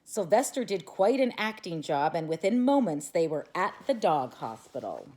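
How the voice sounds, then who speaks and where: A woman reading a children's picture book aloud with expressive storytelling. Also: on the low side, normal speed.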